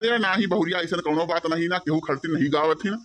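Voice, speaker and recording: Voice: medium pitch, quick pace, natural voice. An actor voicing a grumbling, suspicious elderly village father-in-law in a comedy cartoon, rambling with a rustic Awadhi accent. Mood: gossipy, anxious. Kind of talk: comic cartoon dialogue in village dialect.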